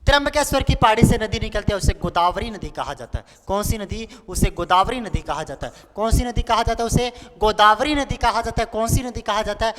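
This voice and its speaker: A man's voice: high voice, a little fast, few pauses, a bit bright. A man of twenty-one teaching an exam-prep lesson in a playful, colloquial way, repeating key points as a drill. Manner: repetitive, playful, colloquial, acts out pupils' questions. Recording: noisy, echoing hall-like room with clicks.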